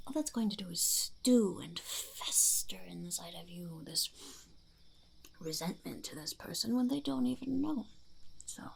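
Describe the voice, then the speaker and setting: A woman voicing a blunt, cheerfully cynical female goblin in an ASMR roleplay, musing and muttering in a creaky, breathy half-whisper. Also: on the low side, heavily acted, low-quality recording.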